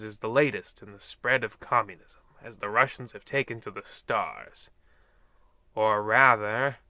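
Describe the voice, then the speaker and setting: A man's voice: mid-range voice, bright, forceful tone, clear, resonant tone, dry recording. A man playing an ominous, wry old-time radio horror host, theatrical and portentous with dry jokes. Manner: theatrical, portentous, dryly joking. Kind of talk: 1950s radio anthology host parody.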